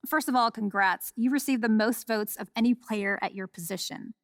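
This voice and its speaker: A woman playing a persistent, composed sports reporter in a video game, asking measured press conference questions. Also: fairly high pitch, no echo, studio quality, natural voice. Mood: composed, probing.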